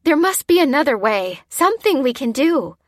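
A bold, alarmed woman in an audiobook, acted out by a female narrator. Her voice is raised in pitch, urgent and protesting on someone else's behalf. It is recorded dry. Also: sing-song, normal speed, no room sound. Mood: pleading, protesting. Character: bold, compassionate, alarmed woman who challenges authority.

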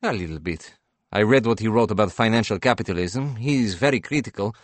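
Man as an earnest, awkward, lowish-voiced banker. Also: lively intonation, brisk, no room sound. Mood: subdued. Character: earnest, awkward male banker, polite and lovelorn.

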